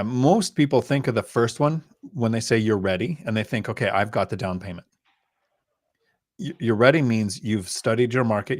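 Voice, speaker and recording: Thailand-born man, low-ish voice, blunt teacher energy, podcast guest. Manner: emphatic, instructive, blunt. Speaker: guest real estate investor. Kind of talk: casual podcast advice.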